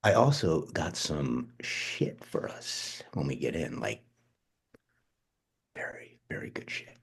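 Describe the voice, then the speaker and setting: A man acting the part of a brash, charming, slangy cousin with a husky voice in a screenplay read-through. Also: rumbling low, very animated, slow pace, in a whisper, full character voice. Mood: cocky, dismissive. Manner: slangy, cocky, nonchalant. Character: Benji, brash, charming, restless, foul-mouthed male cousin. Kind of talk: informal screenplay table read, slangy naturalistic dialogue.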